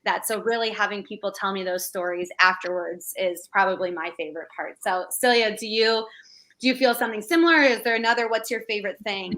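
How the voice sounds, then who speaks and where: A young woman with a bright, clear voice talks through the planning of a virtual conference. She speaks casually and loosely, with plenty of fillers. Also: medium pitch, a little fast. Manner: relaxed, conversational, frequent fillers. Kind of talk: casual chat.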